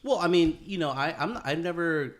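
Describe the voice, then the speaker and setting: A man's voice: on the high side, moderate pace. A man hosting a podcast in casual banter, swearing freely and peppering his talk with slang like dude and bro. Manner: slangy, profane, says "dude" and "bro" often. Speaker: podcast host.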